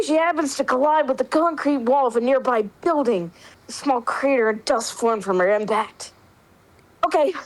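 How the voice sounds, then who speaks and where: A woman's voice: high-pitched, rough recording. A woman narrating an action story in an informal, conversational way, with casual asides. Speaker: player narrating in a dramatic roleplay reading.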